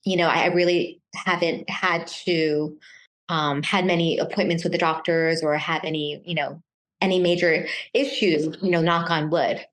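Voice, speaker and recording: A middle-aged woman born in Taiwan, talking casually as an interview guest, often pausing and restarting her sentences. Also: deep voice, fairly even intonation. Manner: hesitant, many restarts and fillers.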